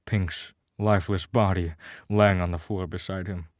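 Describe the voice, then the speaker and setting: Low-voiced man narrating a melodramatic story. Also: steady tone, moderate pace, no echo, clean studio sound. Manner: mock-serious, melodramatic. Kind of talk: dramatic first-person scripted narration.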